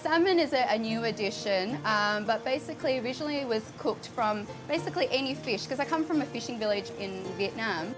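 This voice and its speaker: A woman's voice: fairly high pitch, animated, fairly quick, few pauses, music in the background, low-quality recording. Chatty Australian woman cooking at home. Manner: chatty, with frequent fillers. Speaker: cooking show contestant. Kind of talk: casual explanation while cooking. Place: home kitchen indoors, later a restaurant kitchen.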